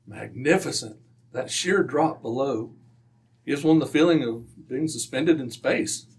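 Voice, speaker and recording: A man playing a hearty, genteel gentleman doctor, a detective's companion, in an old-time radio drama. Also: medium pitch, unhurried, far from the mic, natural voice.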